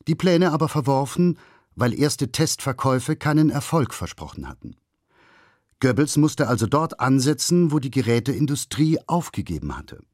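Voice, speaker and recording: A man's voice: mid-range voice, darkish tone, no room sound. Man narrating a documentary in a dry studio. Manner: measured, factual.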